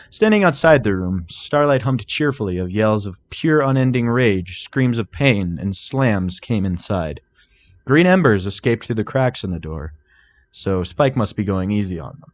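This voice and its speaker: A man reading fan fiction aloud as a scripted story narrator. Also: fairly low pitch. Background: music.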